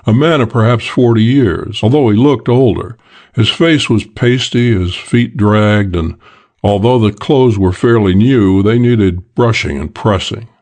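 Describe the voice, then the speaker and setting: A man's voice: fairly low pitch, darkish tone. A man reading scripted first-person detective fiction as an audiobook narrator.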